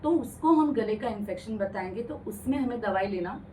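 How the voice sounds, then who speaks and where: A woman's voice: mid-range voice, animated, fairly quick, a little mellow, distant mic, a little hiss or hum. A woman ENT doctor explaining throat infections in a radio interview, patiently, mixing English medical terms into her speech. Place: radio studio.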